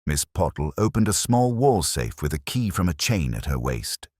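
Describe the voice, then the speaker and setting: A man narrating a mystery story as a scripted reading, in a measured, dryly literary manner. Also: extremely low pitch, very animated, a little mellow, dry recording, clean studio sound.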